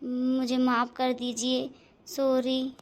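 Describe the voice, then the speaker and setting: A spiteful, scheming stepmother with a high, shrill voice, as a woman plays her. She hurls insults and later begs for mercy. It sounds like a homemade family drama. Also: completely flat delivery, slow pace. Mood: pleading. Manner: shrill name-calling, later begging. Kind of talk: amateur family drama acting.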